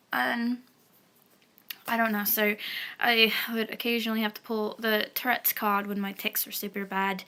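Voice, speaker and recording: A woman's voice: mid-range voice, creaky, pushed and bright. A young woman vlogging about her own experience in a casual, frank way, with a stutter and a speech impediment, using lots of fillers and swearing. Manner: stutters and repeats words, many fillers, frank and profane. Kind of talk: casual personal vlog.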